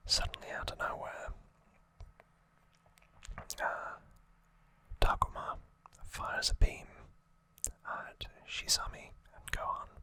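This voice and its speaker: Someone doing a slow asmr trivia recap, all whispered, crisp and bright, kinda informal. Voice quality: soft unvoiced whisper. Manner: unhurried, informal, explanatory. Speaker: ASMR creator and host.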